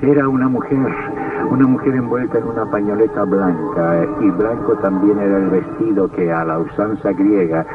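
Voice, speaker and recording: An actor playing a sinister, darkly gloating count who narrates an old radio horror drama in a theatrical, macabre manner. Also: male voice, lowish voice, normal speed, lightly acted. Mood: macabre, brooding. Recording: tinny, distant old radio recording.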